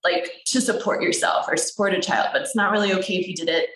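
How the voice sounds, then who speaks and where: A woman's voice: lowish voice, fairly even intonation, fast talker, a bit bright, far from the mic. A young adult woman, a writer and interview guest, talking casually and rambling with many fillers and restarts. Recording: roomy echo, voice set back from the mic.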